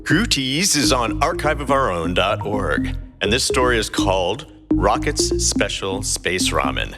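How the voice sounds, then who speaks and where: Slow, playful man co-host over loud music. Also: lowish voice, very animated. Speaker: podcast co-host. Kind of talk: playful scripted banter.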